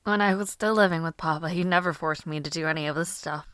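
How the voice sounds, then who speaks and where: A woman's voice: low-pitched, fast talker, smooth, no room sound. A woman playing a giggly young noble mage. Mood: cheerful. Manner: giggly, formal old-fashioned phrasing, soft whispered asides. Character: spirited young noblewoman mage, strong-willed and playful. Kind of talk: audio roleplay.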